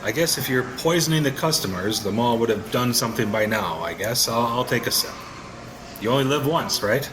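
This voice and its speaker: A man's voice: mid-range voice, lively intonation, fairly quick, noisy background, lightly acted. A man with an American accent playing a skeptical, sardonic ordinary husband in an audio drama, hesitant but curious, with wry colloquial delivery. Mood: hesitant, uneasy. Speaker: actor in an audio play. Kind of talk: audio drama acting in casual American talk.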